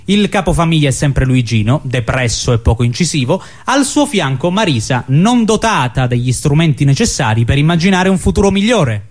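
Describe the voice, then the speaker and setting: A man's voice: a little high, very animated, moderate pace, few pauses. A man performing scripted absurdist theatre in a mock-solemn, declamatory way. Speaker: stage performer.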